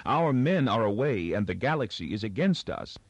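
A man's voice: on the low side, very animated, moderate pace, slightly rough, no room sound, full character voice. A man voicing a cynical, sophisticated young female factory worker in a storybook, languid and scornful.

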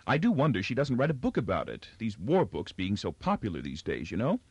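A man voicing a bright-eyed, gossipy young female accountant in a storybook, gushing in eager chatter. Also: lowish voice, wildly swooping pitch, a little fast, slightly rough, no room sound, exaggerated voice. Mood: gushing, excited.

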